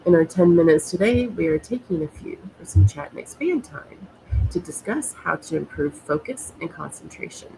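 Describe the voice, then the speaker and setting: A woman hosting a talk show while she has a cold, sounding a little snuffly and speaking haltingly with many fillers and pauses. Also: fairly low pitch, lively intonation, vocal fry, background noise. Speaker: host. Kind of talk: casual chat.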